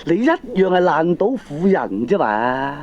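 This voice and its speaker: A man's voice: fairly high pitch, very animated, a little slow, a little mellow. A man playing a feckless, defensive middle-aged gambling husband in a comedy radio drama, blustering in Hong Kong Cantonese with exasperated interjections. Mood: indignant. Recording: dull old cassette radio drama recording.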